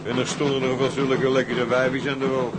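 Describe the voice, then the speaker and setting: Actor as an earthy sailor, train rumble behind. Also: male voice, level tone, moderate pace, lightly acted. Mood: grumbling, wistful. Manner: earthy, bantering. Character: lusty, grumbling, earthy sailor heading home. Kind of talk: radio drama acting. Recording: clear train rumble and hiss.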